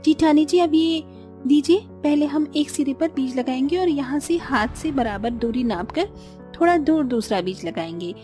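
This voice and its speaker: Woman as an earnest high-voiced village daughter-in-law. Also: animated, moderate pace, mellow, faint music behind. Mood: earnest explaining. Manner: earnest, explanatory. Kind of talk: Hindi cartoon story dialogue.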